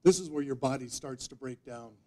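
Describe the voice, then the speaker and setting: A man in his fifties giving a humorous storytelling speech, with theatrical deadpan delivery and dramatic pauses. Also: medium pitch. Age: 50s. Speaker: speech contest speaker. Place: meeting room before a live audience, indoors. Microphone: distant audience camera microphone.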